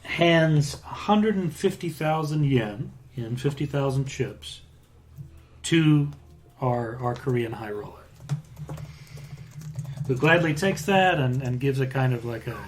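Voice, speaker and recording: A man acting as game master, describing scenes with fillers and quick asides. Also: medium pitch, animated. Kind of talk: narrating a tabletop spy game.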